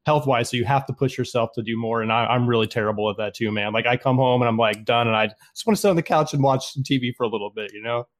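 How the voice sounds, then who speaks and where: A man in his late thirties hosting a casual interview, chatty and quick, full of fillers. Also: medium pitch, steady tone. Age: 30s. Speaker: podcast host, zookeeper.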